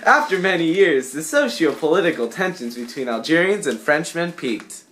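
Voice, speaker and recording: A man's voice: a little high, sing-song, moderate pace, a bit bright, a little hiss or hum. A young man narrating a scripted student history puppet show, with wry, theatrical asides. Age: young adult.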